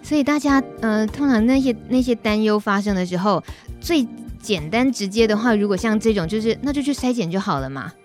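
Taiwanese lady host, casual interview chat, normal pitch, some soft guitar music behind. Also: lively intonation, a little fast. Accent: Taiwanese Mandarin.

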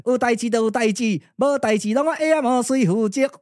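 A man's voice: very high voice, brisk, a little mellow, dry recording, studio quality. A man voicing a brash, hard-luck comic clown with a Taiwanese accent, complaining in colloquial exclamations for a puppet drama.

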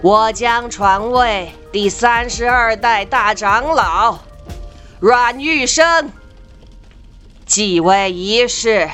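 Woman acting a stately, slow-speaking village elder. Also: on the high side, a bit bright, faint music behind. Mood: solemn. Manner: stately, declamatory. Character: solemn ceremonial village elder, stepping down. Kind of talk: audio drama acting.

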